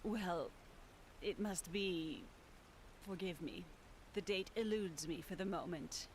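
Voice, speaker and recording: A woman's voice: fairly low pitch, takes it slowly, slight background noise, rough recording. A woman playing a courteous, evasive housekeeper of a mysterious fantasy manor, formal and primly polite in a game. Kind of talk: scripted fantasy game dialogue.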